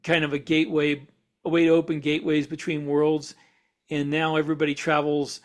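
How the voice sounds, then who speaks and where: A man's voice: fairly high pitch, monotone, brisk. A man co-hosting a podcast, explaining speculative ideas in a casual way and piecing his thoughts together as he goes. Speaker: podcast co-host.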